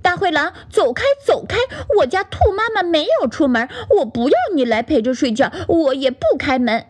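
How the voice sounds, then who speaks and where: A woman voicing a small bunny child in a high, insistent childlike voice. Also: lively intonation, normal speed. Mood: defiant. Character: small bunny child, brave little rabbit.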